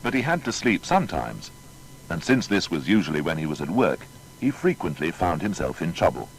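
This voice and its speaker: A man narrating a scripted dramatised biography in a formal, measured storytelling manner. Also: deep voice, very animated, a little hiss or hum, low-quality recording.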